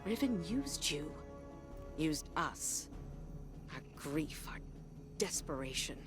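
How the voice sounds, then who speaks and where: A woman's voice: sits low, big pitch swings, slow, exaggerated voice. Solemn, weary woman officer, music underneath. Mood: grave, confiding. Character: solemn, weary woman officer serving a queen, burdened by guilt. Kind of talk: dramatic video game voice acting.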